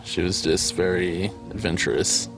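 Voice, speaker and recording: A man's voice: sits low, fairly even intonation, normal speed, fairly bright tone, faint music behind, low-quality recording. A man speaking casually and plainly in an interview. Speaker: interviewee, former partner.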